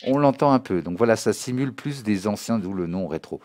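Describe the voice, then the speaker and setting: A man giving an informal spoken software tutorial in a didactic way, often checking that the listener follows. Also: on the low side, expressive, brisk, slight background noise. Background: synthesizer and music demo sounds.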